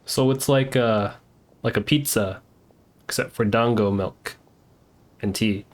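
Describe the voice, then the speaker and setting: A woman's voice: rumbling low, slow. A woman giving casual live commentary while streaming a video game.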